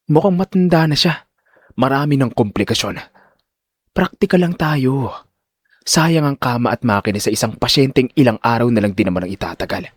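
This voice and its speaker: Male doctor acted by a narrator, medium pitch, hushed, measured, condescending, arrogant, audio drama, dry recording. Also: breathy edge, dry recording. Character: cold, two-faced, arrogant male hospital doctor.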